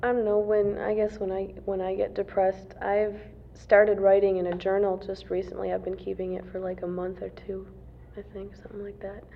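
A woman's voice: mid-range voice, monotone, moderate pace, creaky. A woman in her twenties, a college student answering interview questions casually, with many fillers and restarts. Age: 20s. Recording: tinny phone-like lo-fi recording.

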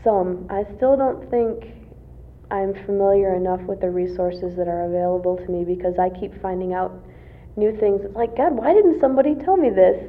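Hesitant young woman, gravelly voice. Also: medium pitch, moderate pace. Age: 20s. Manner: hesitant, many fillers and restarts. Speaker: college student interviewee. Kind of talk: casual interview answers. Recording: tinny phone-like lo-fi recording.

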